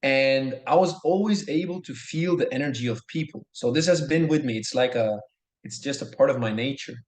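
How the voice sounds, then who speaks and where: A man's voice: mid-range voice, normal speed. A man in his thirties from Norway, telling his story as a podcast guest in an earnest, enthusiastic way, often repeating himself. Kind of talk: casual storytelling. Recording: echoey room.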